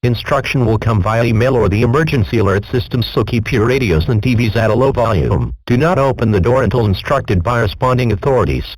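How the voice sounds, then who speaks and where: A man reading a scripted emergency broadcast announcement in a formal, measured, impersonal manner. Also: mid-range voice, lively intonation, darkish tone, husky, dry recording, low-quality recording.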